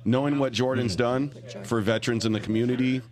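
A man who works as a loan officer, talking casually at an event. He has a loud voice and speaks in a friendly, earnest way with some restarts. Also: mid-range voice, fairly even intonation, fairly quick. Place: room full of people at an event.

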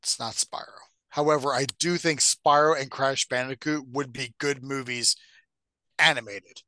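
A man's voice: medium pitch, steady tone, moderate pace, sharp tone, no echo. A man co-hosting a podcast in casual, profane banter, giving blunt opinions. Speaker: podcast co-host.

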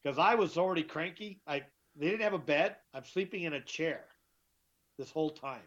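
A middle-aged man with a deeper voice, telling his story as an interview guest, emphatic and often repeating himself. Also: normal speed. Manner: emphatic, repeats and restarts words. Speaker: guest, author. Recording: dull lo-fi sound.

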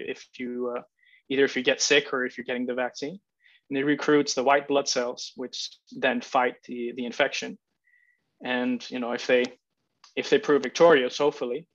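Flat-voiced Icelandic man, dull audio. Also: mid-range voice. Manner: hesitant, many fillers and restarts, explains patiently. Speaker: guest, immunology researcher. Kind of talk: casual interview.

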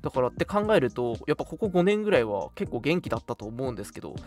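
A man in his twenties chats as a radio co-host, his pitch moving in lively swings. The talk is loose, friendly banter, with music playing behind it. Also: rapid-fire. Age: 20s. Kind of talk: casual banter between friends.

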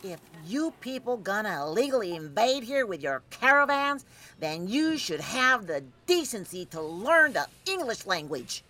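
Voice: mid-range voice, lively intonation, measured pace, fairly bright tone. A woman playing a haughty, hostile older woman, scolding indignantly in sketch comedy.

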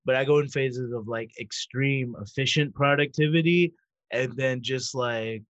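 A man's voice: medium pitch, a little slow, fairly bright tone, dry recording, lo-fi sound. A man in his thirties in casual chat, rambling and correcting himself as he goes, laughing easily. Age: 30s.

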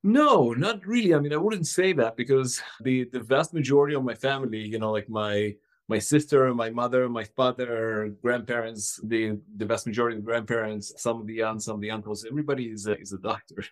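A man from Ukraine, a company founder, answering interview questions reflectively, with frequent restarts and a voice that drops softly at the ends of thoughts. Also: medium pitch, a little fast, hardly any pauses. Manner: reflective, many fillers and restarts, trails off softly. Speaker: startup founder guest. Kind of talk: casual interview.

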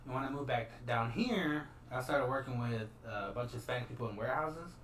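A young man from Texas and Iowa talks casually with friends, his pitch swinging widely as he laughs and teases. He sits some way back from the mic in an echoey room, with a faint hiss beneath. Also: brisk, far from the mic. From Texas and Iowa, USA. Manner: lively, laughs often, teasing. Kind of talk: casual chat among friends.

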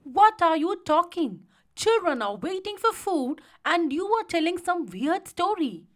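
Woman as a scolding wife, swooping pitch. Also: sing-song, unhurried. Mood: exasperated. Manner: sharp, scolding, complaining. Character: woodcutter's exasperated, scolding wife, hard-pressed mother.

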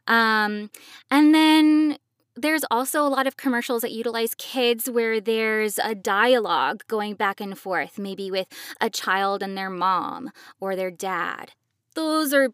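A woman's voice: medium pitch, dry recording, studio quality. A woman who co-hosts a podcast as a professional voice actor, explaining things in a conversational style.

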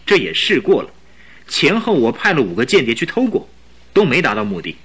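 A man's voice: medium pitch, huge pitch swings, a little fast, fairly bright tone, husky, heavily acted. An actor playing a proud, anxious young king in a radio drama, speaking emphatically and imperiously. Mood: frustrated.

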